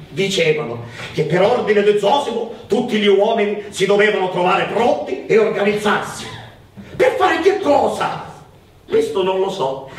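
High and echoey, this is an anxious Sicilian village housewife in a radio play. The manner is folksy and confiding, like gossip, heard at a distance over faint noise. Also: male voice. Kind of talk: theatrical radio drama dialogue.

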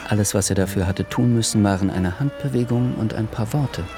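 A man's voice: on the low side, brisk. A man giving scripted literary narration in a radio play. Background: music behind the voice.

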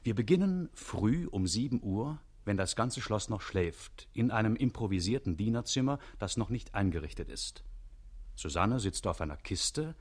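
Lowish-voiced, animated male radio narrator. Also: normal speed. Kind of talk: scripted radio play narration.

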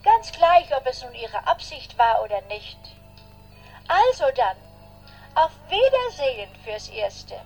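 Formal woman with swooping high voice. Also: very animated, takes it slowly, darkish tone, soft background music, exaggerated voice, low-quality recording. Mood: politely reproachful, grateful. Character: polite, grateful woman leaving an answering-machine message. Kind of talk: radio play acting.

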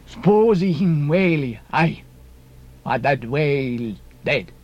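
A man's voice: fairly high pitch, animated, takes it slowly, darkish tone, dull audio. A man voicing a proud, wild foreign harpooneer who speaks terse broken English in an audiobook. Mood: calmly proud.

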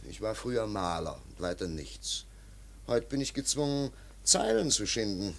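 Low-voiced, resigned struggling artist. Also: male voice, big pitch swings, normal speed, vocal fry. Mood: bitter, weary. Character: resigned, frustrated, polite painter turned hack writer. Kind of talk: radio drama acting.

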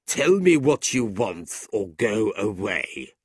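A man's voice: fairly low pitch, very animated, breathy edge, no room sound, clean studio sound, heavily acted. A man voicing a scornful, drunken aristocrat villain with a sneering drawl in a storybook reading. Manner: slow, sneering, drawling delivery. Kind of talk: audiobook character voice.

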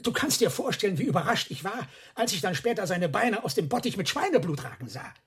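An actor playing a nervous monk under questioning in a radio drama, answering in a halting, defensive way. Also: male voice, high-pitched, big pitch swings, husky, exaggerated voice. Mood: defensive, evasive.

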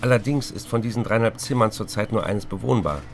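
An actor playing a troubled male writer, reading his letters in a measured, confiding, literary manner for a documentary. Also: lowish voice, lightly acted. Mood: sober, weary.

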